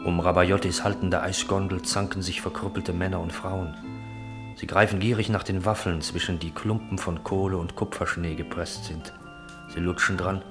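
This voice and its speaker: Narrator for radio drama: man, low register, measured literary reading, music clearly behind. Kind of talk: scripted radio play narration.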